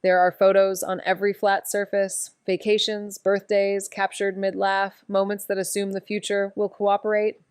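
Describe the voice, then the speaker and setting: A wry young woman investigator narrating a noir tale, played by an actress. Her tone is smooth and clear. It is recorded close and dry. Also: mid-range voice, completely flat delivery, moderate pace, hardly any pauses, dry recording, close to an everyday voice. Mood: wry narration. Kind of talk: noir audio drama narration.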